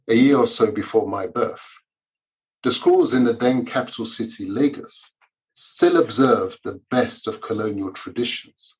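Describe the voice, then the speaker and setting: A man, a Yoruba speaker, reading his own memoir aloud in a measured, formal way. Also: on the low side, low-quality recording. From Nigeria. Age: 50s. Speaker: author and lawyer.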